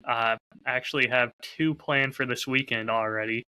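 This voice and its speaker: Bright, forceful man chatting on a podcast. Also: medium pitch, steady tone, moderate pace, clear, resonant tone, rough recording. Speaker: podcast host and audio drama creator. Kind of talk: casual podcast chat.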